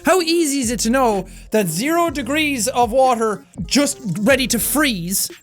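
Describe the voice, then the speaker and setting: A man reading online posts aloud and reacting with energetic, sweary, mock-outraged rants, laughing often. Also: very high-pitched, measured pace. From Ireland. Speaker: YouTuber.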